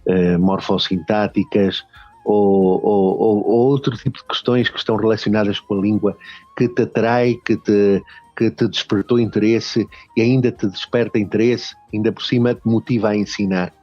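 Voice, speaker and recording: A man's voice: on the low side, fairly even intonation, normal speed, darkish tone, no echo, lo-fi sound, faint music behind. A man with a European Portuguese accent hosting a radio interview, hesitant and full of fillers. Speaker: radio host.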